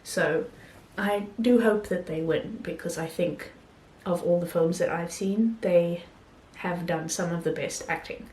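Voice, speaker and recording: A woman's voice: on the low side, fairly even intonation, a little slow, hardly any pauses, darkish tone. Hesitant woman reviewing films, roomy sound. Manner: hesitant, many ums and restarts. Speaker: film vlogger. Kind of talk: casual film review talk.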